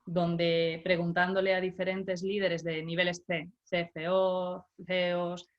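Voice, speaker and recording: Measured middle-aged woman coach. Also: fairly low pitch, flat delivery, lo-fi sound. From Galicia, Spain. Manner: measured, explanatory, with small restarts. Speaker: leadership coach. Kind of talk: interview answers.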